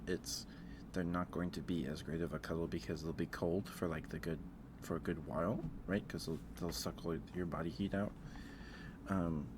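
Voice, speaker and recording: Male ASMR streamer, low voice, halting and rambling casual chat, clear noise in the recording. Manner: hesitant, rambling, often restarting mid-thought; chatting back to live viewers. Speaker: livestream host.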